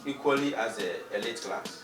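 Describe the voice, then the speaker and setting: A man's voice: mid-range voice, moderate pace, fairly bright tone. A man with a Ghanaian accent, a talk show guest, explaining things politely and step by step in conversation. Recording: echoey, distant mic with music.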